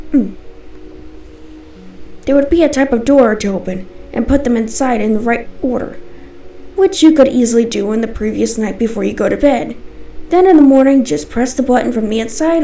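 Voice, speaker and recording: High, mellow-voiced woman narrator. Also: recorded from a distance, slight background noise. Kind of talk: scripted first-person story narration. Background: music.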